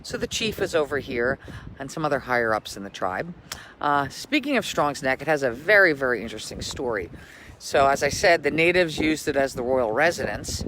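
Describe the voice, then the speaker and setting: A man's voice: mid-range voice, sing-song, fairly quick, bright, forceful tone, a little hiss or hum, poor audio. A man who works as a local history tour guide, speaking in an emphatic storytelling way. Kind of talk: scripted story reading, then informal tour talk.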